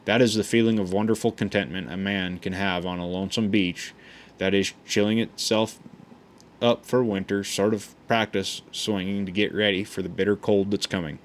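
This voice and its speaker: A man reading a memoir aloud in an unhurried, folksy way, often stumbling and restarting words. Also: fairly low pitch, steady tone, a little hiss or hum. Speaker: audiobook reader.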